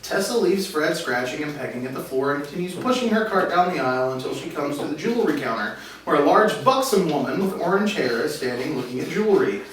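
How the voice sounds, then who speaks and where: A man's voice: a little high, expressive, fast, a bit bright. A man reading stage directions as the narrator of a script table read. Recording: echoey room, far mic, faint noise.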